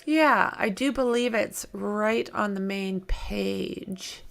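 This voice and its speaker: A woman with a creaky voice hosting a true crime livestream, reading aloud and chatting informally with viewers as she thinks aloud. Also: mid-range voice, slow. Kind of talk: reading documents aloud with casual asides to viewers.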